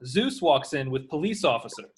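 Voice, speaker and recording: High-pitched, lively man reading stage directions. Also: normal speed. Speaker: screen directions reader. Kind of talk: reading script directions aloud.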